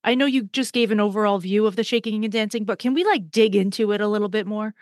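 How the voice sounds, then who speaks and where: A woman's voice: mid-range voice, fast talker, no room sound, clean studio sound. A woman in her thirties hosting a podcast interview, speaking candidly and casually while asking follow-up questions. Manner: candid, conversational, asks follow-ups. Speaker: podcast host.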